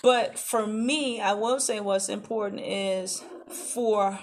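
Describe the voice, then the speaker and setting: A woman's voice: mid-range voice, fairly even intonation, takes it slowly, a little hiss or hum. An American woman being interviewed as a political candidate, speaking emphatically and colloquially, repeating phrases to drive points home. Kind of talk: campaign interview, conversational.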